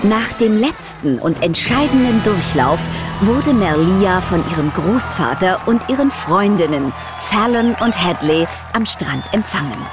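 A woman's voice: mid-range voice, animated, measured pace, hardly any pauses, over loud music. A woman narrating a children's story in scripted audiobook style.

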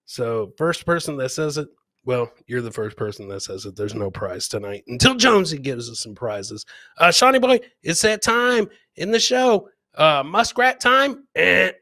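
A man's voice: fairly high pitch, big pitch swings, normal speed, sharp tone, dry recording. A middle-aged man hosting a comedy podcast, chatting casually with live viewers into a close studio mic. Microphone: close studio mic on a boom.

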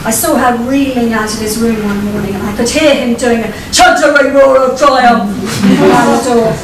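Echoey, high-voiced Englishwoman reading a story onstage. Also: distant mic. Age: middle-aged. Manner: wry, theatrical, deliberate phrasing. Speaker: writer reading their own short story. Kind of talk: live expressive storytelling reading. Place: small vaulted performance venue, on stage. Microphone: distant camera microphone. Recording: echoey stage recording from afar, noisy room. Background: live audience ambience.

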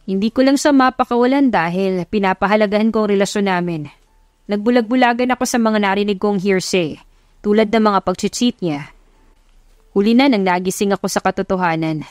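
A woman voicing an earnest, smitten young male CEO in an audio love story, measured and mixing in English. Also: mid-range voice, a little mellow, no room sound, studio quality, natural voice. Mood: earnest, reflective.

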